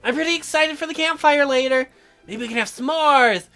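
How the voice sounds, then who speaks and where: An earnest teenage girl from a dating sim, played by a man, speaks in a bright, high voice. Her pitch swings widely as she questions and exclaims, with faint music behind her. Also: moderate pace, full character voice. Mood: excited. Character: teenage girl heroine, earnest, curious, excitable. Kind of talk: dating sim dialogue read aloud.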